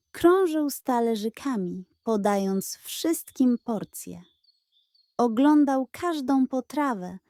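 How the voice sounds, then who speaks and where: A woman narrating a children's bedtime story in an expressive, scripted storytelling style. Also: fairly high pitch, dry recording, clean studio sound.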